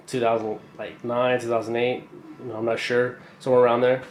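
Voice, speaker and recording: A young man with a stuffy, congested voice casually rambling through an unboxing, full of fillers and slangy excitement. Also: mid-range voice. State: congested from a cold. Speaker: knife collector reviewing a purchase. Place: indoor room, camera over a tabletop. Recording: roomy echo, faint background hiss.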